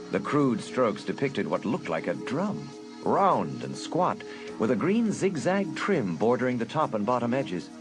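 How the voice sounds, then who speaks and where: A man narrating a suspense audiobook in a dramatic, deliberate storytelling manner. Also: mid-range voice.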